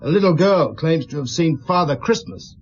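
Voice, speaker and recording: An actor playing a patient police inspector in a radio drama, with measured, polite, probing questions. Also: male voice, a little high, animated, husky, dull audio. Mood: brisk, businesslike.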